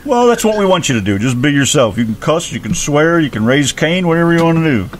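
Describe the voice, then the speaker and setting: A man's voice: a little high, very animated, fast talker. A man hosting a casual radio interview, teasing his guest in a joking, easygoing way. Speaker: radio host.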